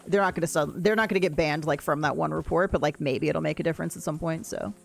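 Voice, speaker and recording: A woman with a deep voice, chatting casually and rambling while she plays a game on a livestream and answers her chat. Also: fast, creaky, faint music behind. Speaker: streamer. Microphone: desk mic, no camera.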